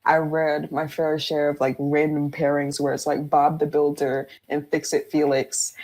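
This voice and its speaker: A woman with a low-pitched voice chats on a podcast as a guest. She is candid and informal, talking in a conversational way and readily breaking into laughter. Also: monotone, moderate pace, darkish tone. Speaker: fan fiction writer, podcast guest.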